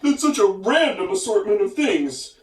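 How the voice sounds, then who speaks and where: A man's voice: high voice, very animated, normal speed, far from the mic. A man casually commenting on a story in a slangy, incredulous way. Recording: echoey room, away from the mic.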